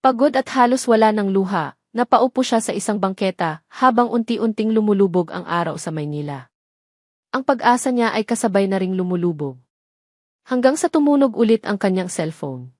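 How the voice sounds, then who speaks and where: A woman's voice: medium pitch, lively intonation, normal speed, darkish tone, no room sound, studio quality. A woman reading scripted dramatic story narration as the narrator.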